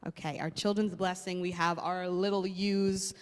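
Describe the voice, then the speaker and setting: A woman's voice: fairly low pitch, flat delivery, moderate pace, clean tone. A woman leading a playful children's segment in a church service, speaking in an upbeat, friendly way. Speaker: children and youth program leader. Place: church sanctuary. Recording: long church reverb.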